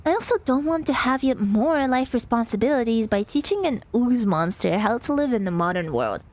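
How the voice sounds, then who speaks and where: A woman's voice: on the high side, lively intonation, normal speed, a little mellow. A woman reading as an overworked office lady who narrates a visual novel with dry, reluctant kindness. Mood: wry, narrating.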